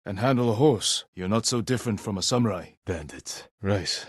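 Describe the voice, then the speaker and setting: An actor playing an honourable, stoic samurai warrior in a video game, speaking in a measured, solemn way with short, clipped sentences. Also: male voice, fairly low pitch, expressive, husky, dry recording, clean studio sound. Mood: hushed, grave. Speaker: video game lead character. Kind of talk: dramatic video game voice acting.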